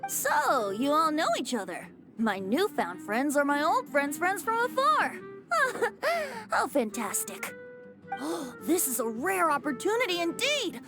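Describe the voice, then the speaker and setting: A woman's voice: high-pitched, big pitch swings, slow pace, music in the background, heavily acted. A woman playing a cheerful, mischievous and boyish young bard in a video game, breezy and playful with flowery phrasing. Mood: delighted, playful.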